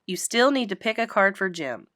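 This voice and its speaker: Casting: woman as gentle storybook mom, dry and mildly disapproving, measured, quiet delivery, close dry sound. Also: medium pitch, sing-song, no echo. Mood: gently prompting.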